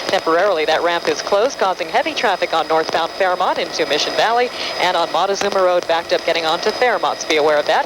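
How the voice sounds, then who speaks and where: A woman giving a radio traffic report, briskly listing road closures and accidents. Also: lowish voice, animated. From Southern California. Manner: brisk, matter-of-fact listing of road conditions. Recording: hissy broadcast feed.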